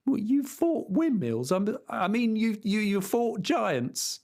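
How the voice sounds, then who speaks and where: A man puts on a bumbling young male squire from the farm, his voice jumping up high and swinging wildly. He stammers and blurts things out with simple cheer, in a storybook reading. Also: sing-song, takes it slowly, a little mellow, exaggerated voice. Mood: bewildered. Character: eager, bewildered young male farmer squire.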